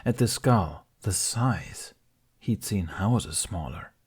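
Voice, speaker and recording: Low, husky male story narrator. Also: animated, slow pace, a little mellow. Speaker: audiobook narrator. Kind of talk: dramatic scripted story narration.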